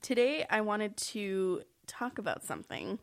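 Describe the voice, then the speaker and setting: A woman's voice: medium pitch, sing-song, measured pace, gravelly, no room sound, studio quality. Congested young woman, candid chat. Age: young adult. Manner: candid, laughs often, swears casually. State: congested from a flu. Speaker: podcast co-host. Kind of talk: casual chat.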